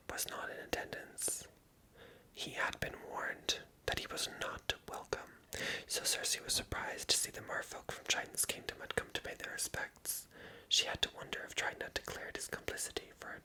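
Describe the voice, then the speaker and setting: Bright, breathy whispering ASMR reader. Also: poor audio. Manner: hushed, gentle delivery. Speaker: ASMR video host reading aloud. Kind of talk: ASMR whispered storybook reading.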